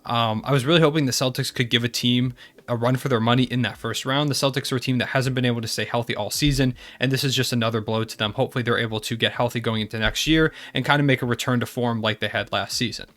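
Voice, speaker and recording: Young male sports vlogger, quick and eager, nasal from a cold. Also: mid-range voice, steady tone, fairly bright tone. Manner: enthusiastic, fan-like. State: congested from a cold. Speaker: basketball channel host. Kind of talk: casual video recap.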